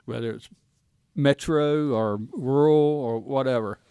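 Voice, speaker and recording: A man's voice: medium pitch, unhurried, mellow, clear, resonant tone, dry recording, dull audio. Middle-aged American male host, mid-range voice, colloquial and folksy questioning, very dry close sound. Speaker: guest host, former law enforcement officer. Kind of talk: casual interview chat.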